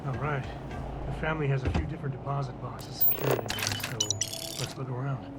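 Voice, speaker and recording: An actor playing a gruff, guarded, weary space cowboy in a video game, talking in a casual, grudging way. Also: male voice, medium pitch, brisk, over loud music, natural voice. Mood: businesslike. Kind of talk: video game dialogue acting.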